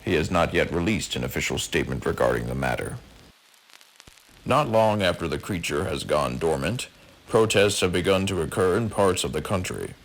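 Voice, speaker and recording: A man playing a senior TV news anchor, delivering a formal scripted broadcast in a measured way. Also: low-pitched, lively intonation, slight background noise, low-quality recording.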